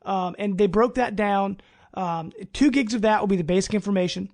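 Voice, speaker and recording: High-voiced man hosting a casual podcast. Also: normal speed, a little mellow. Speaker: podcast host. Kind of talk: casual gaming news podcast talk.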